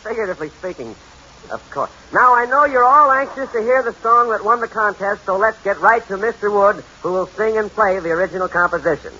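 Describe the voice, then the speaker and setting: High, bright, showy radio announcer man. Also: a little fast, exaggerated voice. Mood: jovial. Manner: hearty, formal showmanship. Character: jovial, showy male radio contest announcer. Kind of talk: old-time radio announcing. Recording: muffled, noisy old radio recording.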